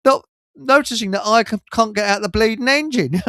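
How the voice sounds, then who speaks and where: A man's voice: sits high, moderate pace, sharp tone, no echo, clean studio sound. An older man, chatting casually as a radio host and chuckling through rambling anecdotes. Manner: jovial, chuckles through his stories.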